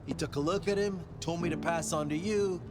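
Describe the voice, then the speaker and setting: An actor playing an easygoing street cop, casual, clipped and matter-of-fact, in a video game. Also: male voice, a little high, a little fast, over music, natural voice. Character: easygoing male street cop briefing a detective. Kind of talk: video game dialogue acting.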